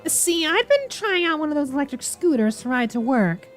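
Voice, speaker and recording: A friendly, naive young man in a visual novel, acted out by a woman. He sounds eager and earnest, rambling in a high voice that swings widely up and down. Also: sing-song, moderate pace, soft background music. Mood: earnest, flustered. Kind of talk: visual novel character reading.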